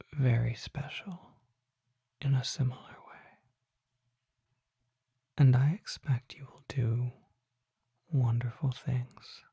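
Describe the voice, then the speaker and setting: A performer voicing a refined, mysterious vampire shopkeeper in a soft, breathy ASMR whisper, speaking formally with deliberate pauses. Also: mid-range voice, no room sound, highs cut off, exaggerated voice. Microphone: close microphone.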